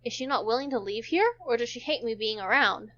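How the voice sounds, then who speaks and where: Bright, higher-voiced woman narrating, slightly dull mic. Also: fairly quick. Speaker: host and narrator of a visual novel stream. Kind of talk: amateur read-aloud narration with casual asides.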